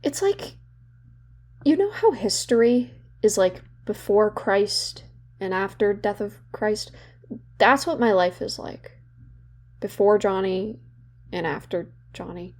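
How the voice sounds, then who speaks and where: An actress playing a young woman in therapy in an audio drama, bitter and regretful, confiding in a halting, sardonic, conversational way. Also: mid-range voice, animated, darkish tone. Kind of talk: naturalistic audio drama monologue.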